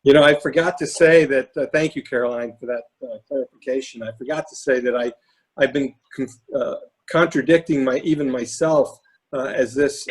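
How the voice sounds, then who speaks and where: A hoarse man with a raspy voice talking through case studies on a video call, halting with many fillers and restarts. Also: mid-range voice, rough recording. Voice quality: raspy, lost voice. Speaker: panelist presenting case studies. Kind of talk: webinar talk.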